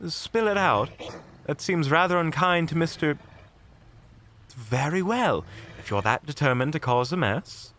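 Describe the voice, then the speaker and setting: A man's voice: on the high side, animated, a little slow, a bit bright, a little hiss or hum. A man playing a polite, unflappable monster hunter in an audio roleplay, speaking in a formal, prim, theatrical manner. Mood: wry. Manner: formal, prim, wryly courteous.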